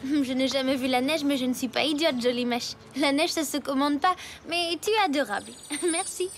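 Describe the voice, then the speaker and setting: A woman voicing a sweet, self-assured young schoolgirl in a French cartoon, gentle and lightly teasing. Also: high-pitched, moderate pace, a little hiss or hum. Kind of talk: French animated cartoon dialogue.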